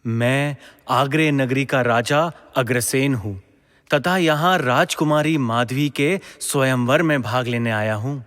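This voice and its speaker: An actor playing a humble, noble young king in a mythological audio drama, speaking in measured, formal phrases. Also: medium pitch, a bit bright, close to an everyday voice. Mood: modest, dignified. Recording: long reverberant hall echo.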